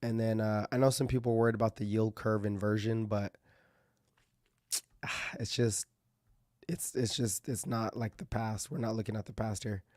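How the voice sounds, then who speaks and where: A man hosting casual market commentary, thinking aloud with fillers and restarts, his voice going a little hoarse as he clears his throat. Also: fairly low pitch, steady tone, normal speed, a little mellow, no room sound, studio quality.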